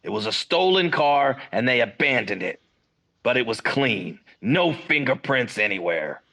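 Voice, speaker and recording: A firm, gravelly police captain. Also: male voice, medium pitch, a little slow, heavily acted. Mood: advising. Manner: steady and firm. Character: calm police captain advising detectives. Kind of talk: 1940s radio acting.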